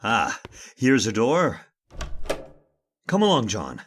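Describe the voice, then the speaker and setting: An actor playing a dry, unflappable gentleman with a wry, commanding manner in an old-time radio horror drama. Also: fairly low pitch, wildly swooping pitch, slow pace, slight background noise, exaggerated voice. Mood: wry, steady. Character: dry, unflappable gentleman master sheltering from a storm.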